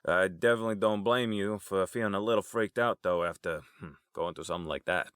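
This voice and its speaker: An actor playing an easygoing, streetwise, affectionate uncle in an audio drama, talking in a familiar, colloquial way. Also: male voice, low-pitched, fast talker, fairly bright tone, clean tone, no room sound, clean studio sound. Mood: friendly, concerned.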